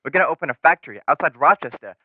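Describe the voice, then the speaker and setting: A man's voice: a little high, expressive, racing, dry recording. Teenage boy as brash businessman on tinny phone. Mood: eager, pushy. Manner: pushy, emphatic. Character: brash, persuasive young businessman on the telephone. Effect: telephone filter. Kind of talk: radio drama acting. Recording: tinny dry phone-line sound.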